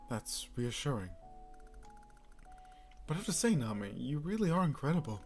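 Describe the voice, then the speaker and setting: A man voicing a self-doubting young office worker who speaks quietly and apologetically. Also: fairly high pitch, sing-song, moderate pace, soft background music, pitched above the performer's own voice. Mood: wistful.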